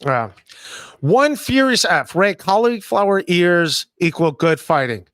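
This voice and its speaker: Man in his forties, podcast host, self-deprecating banter, high bright voice. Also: lively intonation, slow, smooth, no room sound, clean studio sound. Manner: self-deprecating, reads chat messages aloud. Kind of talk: casual chat. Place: home room, indoors. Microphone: studio mic with headphones, video call.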